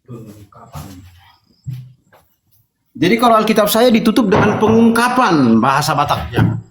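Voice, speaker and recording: An echoey recording of a man. His pitch moves in wide, animated swings as he debates informally, laying out explanations and illustrations. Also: moderate pace, background noise. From North Sumatra, Indonesia. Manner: explanatory, argues through illustrations. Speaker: debate participant.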